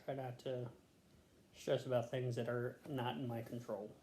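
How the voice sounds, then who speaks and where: A woman's voice: rumbling low, level tone, moderate pace, mellow. A middle-aged woman giving casual, laconic interview answers with a dry, joking manner. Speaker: interviewee answering health questions, a nurse. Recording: roomy echo, faint background noise.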